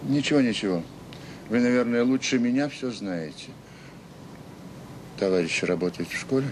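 A man's voice: moderate pace, lightly acted. A man acting in an audio drama as a self-assured, sarcastic education official with an oratorical, condescending manner. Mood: sarcastic, polite. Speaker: conference speaker. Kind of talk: radio drama acting, formal speech. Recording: noisy 1980s audio play with rumble.

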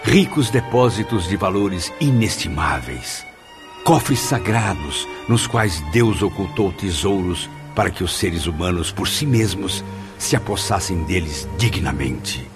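A man's voice: sits low, animated, a little slow. A man narrating a radio drama in a solemn, dramatic way. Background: music.

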